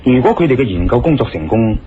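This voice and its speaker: An actor playing a calm, questioning investigator in a Cantonese radio drama, speaking in a measured, reasoning way. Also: male voice, medium pitch, slight background noise, lightly acted. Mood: puzzled, thoughtful. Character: thoughtful male investigator, calm, questioning husband. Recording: dull lo-fi radio recording, music behind.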